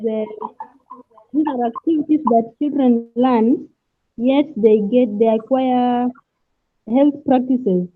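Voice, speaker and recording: A woman's voice: fairly high pitch, dry recording. A woman student answering in an online class, hesitating and searching for words. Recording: tinny phone-like call audio.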